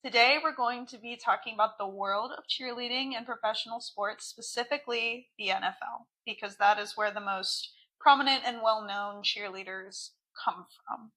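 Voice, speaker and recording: A woman co-hosting a podcast in casual chat, her voice hoarse from cheering, with many "like" fillers. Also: on the high side, steady tone, moderate pace, hardly any pauses, sharp tone, low-quality recording. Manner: chatty, lots of "like" fillers. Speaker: podcast co-host.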